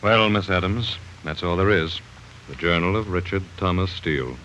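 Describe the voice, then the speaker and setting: An actor playing a calm, thoughtful doctor who speaks in a measured, grave way, in 1940s radio drama style. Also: male voice, low voice, slight background noise. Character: calm, thoughtful middle-aged male doctor. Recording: muffled 1940s radio broadcast.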